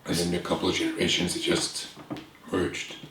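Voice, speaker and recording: A man with an Icelandic accent talks slowly and thoughtfully in short phrases. His voice is low and fairly flat. The room around him sounds echoey, with a faint hiss underneath. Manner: earnest, reflective, pausing in short phrases. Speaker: guest. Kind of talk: candid conversation. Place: room with bookshelves and a window, at a table.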